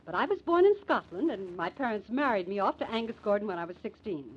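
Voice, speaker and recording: An actress playing an earnest, scheming small-town society matron with a husky, theatrical delivery in an old-time radio drama. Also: female voice, mid-range voice, big pitch swings, fast talker. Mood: confiding, uneasy. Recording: dull, muffled old radio recording.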